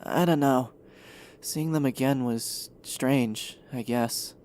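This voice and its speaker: A man's voice: medium pitch, slow pace, a little mellow, a little hiss or hum, close to an everyday voice. Guarded, uncertain teenage boy for anime drama, middle register male actor. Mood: subdued, unsure. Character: guarded, conflicted teenage son and brother. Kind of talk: anime fan audio drama.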